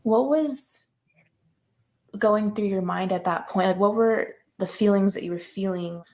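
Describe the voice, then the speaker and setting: A woman interviewer gently asking open questions. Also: mid-range voice, measured pace.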